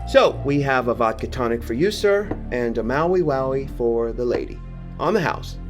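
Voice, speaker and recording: A man's voice: medium pitch, lively intonation, normal speed, over music, lightly acted. An actor playing a sassy, sympathetic male bartender in an audio drama, arch and campy. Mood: breezy.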